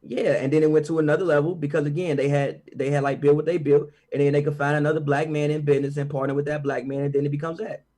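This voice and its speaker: Podcast co-host, even-toned man, measured and insistent. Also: on the high side. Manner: measured, keeps repeating "like I said". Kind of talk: podcast debate.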